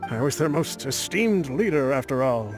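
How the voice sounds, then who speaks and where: A man's voice: mid-range voice, expressive, moderate pace, over music, lightly acted. A man playing an old warband chief, ailing, boastful, chuckling, rambling. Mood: wry, chuckling. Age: older.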